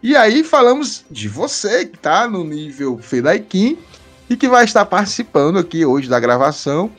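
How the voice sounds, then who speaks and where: Male podcast host, very animated intonation, higher register, casual and chatty. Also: normal speed, faint music behind. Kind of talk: casual podcast chat, explaining supporter tiers.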